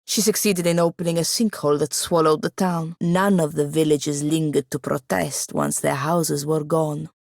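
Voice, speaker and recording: A proud young woman wizard from a fantasy video game. Her voice sits low and has a gravelly creak. She speaks in formal phrases with a dry, sardonic edge, in a very dry, close recording. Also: steady tone, moderate pace, few pauses, darkish tone, no room sound. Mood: wary, accusing. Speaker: game companion character. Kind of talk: scripted video game dialogue.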